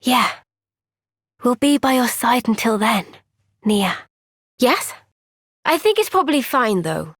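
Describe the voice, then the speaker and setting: An actress voicing a gentle, caring young female soldier in a game cutscene, speaking softly and earnestly. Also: fairly high pitch, very animated, takes it slowly, long pauses, no room sound, clean studio sound, exaggerated voice. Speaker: game character. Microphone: close studio mic.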